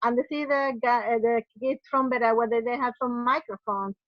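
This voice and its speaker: A woman, a workshop participant asking a question in halting, phrasing. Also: a little high, fairly even intonation, dry recording. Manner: halting, non-native English phrasing. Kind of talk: halting question and listener responses. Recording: dry, muffled online call audio.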